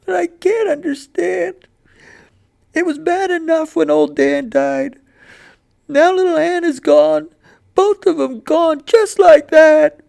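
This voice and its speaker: A man reading a story aloud, voicing a grieving, stubborn young boy with a choked, pleading delivery pushed up high. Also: slow pace, gravelly, a little mellow, exaggerated voice.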